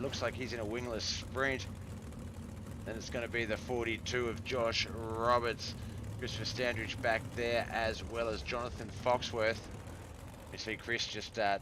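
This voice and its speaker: An Australian man doing relaxed play-by-play commentary on a live-stream sim race, reading out lap times, with a hoarse, congested voice. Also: mid-range voice, background noise. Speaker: sim racing league commentator.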